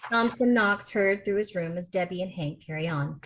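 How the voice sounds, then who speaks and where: A woman's voice: lowish voice, normal speed. A woman reading stage directions as the narrator at a film script table read. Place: remote video call rehearsal.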